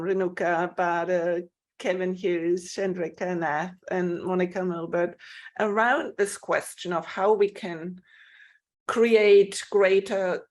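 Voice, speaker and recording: A woman with a slightly low voice moderates a panel over a video call. She speaks in long, measured, flowing sentences as she passes the floor to the speakers.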